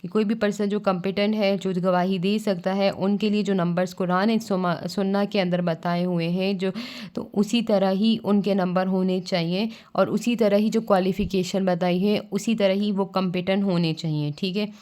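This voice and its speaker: A woman with a Pakistani accent giving a lesson as a teacher, reading out passages and explaining them, switching between Urdu and slowly read English. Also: medium pitch, steady tone, darkish tone. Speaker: law teacher.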